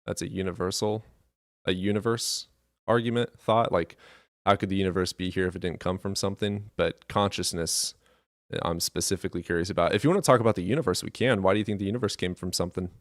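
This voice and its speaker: A low-voiced man hosting a call-in show, pressing callers with direct, pointed questions. His voice sounds dry and close, with no room around it. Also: fast, dry recording. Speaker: host.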